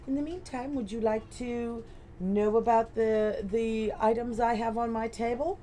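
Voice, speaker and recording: A woman's voice: a little high, steady tone, measured pace, dark tone, slight background noise, close to an everyday voice. A woman voicing a distracted, kindly male frog-folk artificer, polite and a little fussy, in a tabletop role-play. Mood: friendly. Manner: polite, fussy shopkeeper talk.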